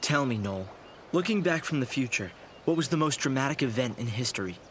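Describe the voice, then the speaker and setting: An actor playing an earnest, idealistic young researcher in a video game, speaking in a measured, formal, thoughtful way. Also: male voice, mid-range voice, animated, a little hiss or hum, natural voice. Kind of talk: video game cutscene acting.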